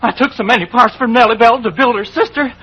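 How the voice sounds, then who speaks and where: An excitable, bumbling western sidekick for radio comedy. His rural American voice is extremely high and husky, stammering in folksy fashion on a muffled old recording. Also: quick pace. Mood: flustered.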